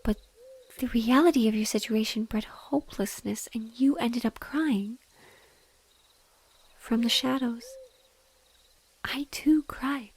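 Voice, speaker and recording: A woman voicing a mournful, gently loving banshee in a breathy whisper, slow and tender, as in an intimate audio roleplay. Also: fairly high pitch, heavily acted. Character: sorrowful female banshee, gentle and lovingly devoted.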